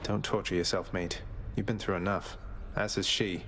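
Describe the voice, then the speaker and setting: A man's voice: low voice, little pitch movement, normal speed, fairly bright tone. A man playing a young rebellious underworld prince in a video game, wry, polite and kindly. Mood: wry, earnest. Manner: polite, dry, casual. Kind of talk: video game character dialogue.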